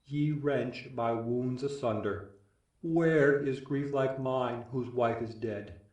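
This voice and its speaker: Man doing sad widower king from greek tragedy, slow mournful speech, echoey and far off. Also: medium pitch, darkish tone, close to an everyday voice. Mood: anguished grief. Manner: mournful, declamatory. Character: despairing widowed king, mournful and self-pitying. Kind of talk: Greek tragedy lament in archaic verse. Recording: echoey room, distant from mic.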